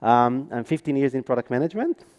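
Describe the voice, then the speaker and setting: A man's voice: mid-range voice, lively intonation, fast, a little mellow, smooth. A man from Israel with an Israeli accent, speaking on stage at a conference with frequent ums and restarts. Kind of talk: conference talk.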